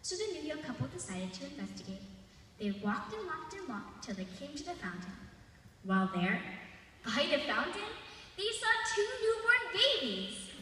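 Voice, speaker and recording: An eleven-year-old girl telling a folk tale live on stage in a theatrical, expressive way, with dramatic pauses. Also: high voice. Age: child, 11. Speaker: storyteller. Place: large indoor arena, live festival stage. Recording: distant, echoey arena with long reverb and noise.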